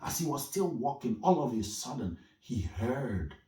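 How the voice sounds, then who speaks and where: A man from Nigeria telling a folktale as a storyteller, theatrical, with suspenseful pauses and emphasis. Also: mid-range voice, very animated, warm tone. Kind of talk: dramatic oral storytelling of a folktale. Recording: echoey room, distant from the mic.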